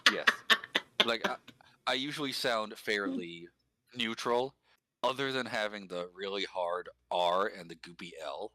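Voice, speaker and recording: Man, lowish voice, bright edge, articulate, wry, casual talk. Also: a little slow. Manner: articulate, wry, talks about pronunciation. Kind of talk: casual conversation.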